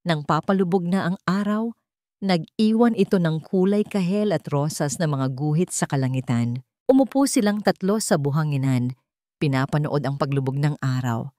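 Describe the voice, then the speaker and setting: A woman's voice: low voice, moderate pace, dark tone, no room sound, clean studio sound. A woman with a Filipino accent reading a scripted dramatic story as its narrator. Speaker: narrator of an online story channel.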